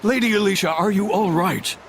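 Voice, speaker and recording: A man's voice: high voice, fairly quick, a little hiss or hum. A man voicing a sceptical soldier of the guard in a video game, blunt and teasing. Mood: concerned. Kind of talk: English game voice acting.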